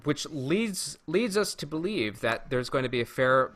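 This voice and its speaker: A man hosting a podcast in casual chat, talking in a halting, stop-and-start way. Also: on the high side, lively intonation, a bit bright. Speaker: podcast host. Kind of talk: casual chat about Star Wars rumors.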